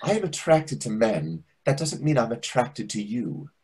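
A man's voice: mid-range voice, big pitch swings, moderate pace, darkish tone, slightly rough. A man playing a vain, catty, sarcastic waiter of about forty in a readers theatre comedy over a video call. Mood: indignant.

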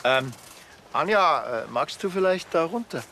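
A dry, bossy Bavarian village policeman in a TV crime comedy, grumbling sarcastically in a slightly high male voice. Clear location noise surrounds him. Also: lively intonation, a little slow. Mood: sarcastic, impatient. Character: grumpy, sarcastic small-town Bavarian policeman. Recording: noisy location sound.

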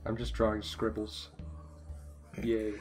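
A man's voice: lowish voice, monotone, slow, soft background music. A young man chatting casually as a podcast guest, joking and giggly as he draws. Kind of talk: casual chat while drawing.

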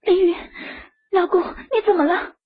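A man voicing a frantic, devoted young wife in a high falsetto, recorded on muffled lo-fi audio. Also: fairly even intonation, measured pace. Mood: frantic calling. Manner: high falsetto, anxious calling.

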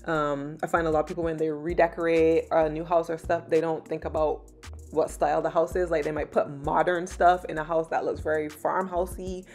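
A woman from Barbados in her thirties, narrating her vlog in a relaxed, chatty way with many "um"s. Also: sits low, a little fast, soft background music. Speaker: lifestyle vlogger. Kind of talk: casual vlog voice-over.

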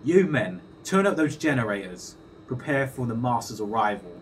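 A man's voice: mid-range voice, sing-song, normal speed, distant mic, a little hiss or hum, lightly acted. A man playing a curt, obedient henchman in a sci-fi audio drama, giving stern, clipped orders. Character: curt, obedient male henchman guard serving alien masters.

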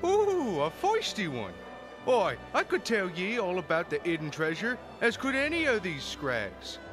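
Leering tavern keeper, animated, folksy pirate talk, fantasy game fan dub, music behind. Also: male voice, sits high, measured pace, heavily acted. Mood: sly, confiding. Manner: folksy, mocking pirate-style patter. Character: jovial, leering tavern keeper in a port town.

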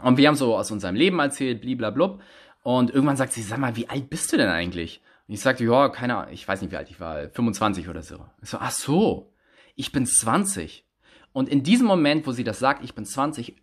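Animated man telling a story to his phone. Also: fairly quick, husky. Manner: lively, animated storyteller with big pitch swings. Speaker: rapper. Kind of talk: casual selfie vlog storytelling. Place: small room indoors. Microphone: phone held close at arm's length.

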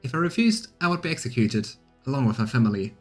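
A man's voice: mid-range voice, very animated, quick pace, soft background music. A man voicing a bitter, defeated male assassin from a fantasy game, confessing his past resentfully.

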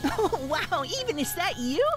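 A woman's voice: high-pitched, very animated, measured pace, over loud music. A woman voicing a chatty, upbeat small child in a video game, chirpy and gushing. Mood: chirpy, relieved. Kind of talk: video game character acting.

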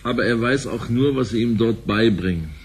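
An actor playing a middle-aged, distrustful banker in a sci-fi radio play, arguing in a wry manner. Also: male voice, medium pitch, normal speed, a little hiss or hum, natural voice. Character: disgruntled, distrustful sidelined banker husband in sci-fi future. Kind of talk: radio drama acting.

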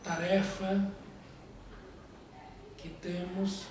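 A man's voice: high-pitched, flat delivery, drawn-out words, distant mic, background noise. Man speaking in an echoey room. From Brazil. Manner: conversational, teasing, questioning. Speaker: spiritist lecturer. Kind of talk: informal Q&A with audience. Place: small room in a spiritist center. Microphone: far camera. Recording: echoey room, picked up from afar.

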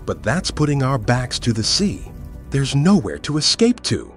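A man voicing a serious, disciplined chameleon ninja with a formal, measured manner, in a comic dub. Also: mid-range voice, wildly swooping pitch, faint music behind.

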